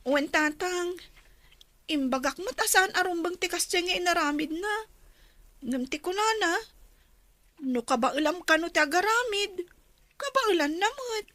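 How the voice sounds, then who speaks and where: An actress playing an earnest, pleading young student daughter in a radio drama, respectful but plaintive. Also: female voice, extremely high pitch, animated, a little slow, pauses often, bright, forceful tone, no room sound, exaggerated voice. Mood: troubled.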